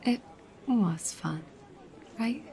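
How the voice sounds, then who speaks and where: A woman playing a dominant, teasing goddess girlfriend in an ASMR roleplay, soft, breathy and often whispering, coaxing and commanding. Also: a little high, expressive, drawn-out words, voice pushed up, full character voice. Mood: playful, possessive.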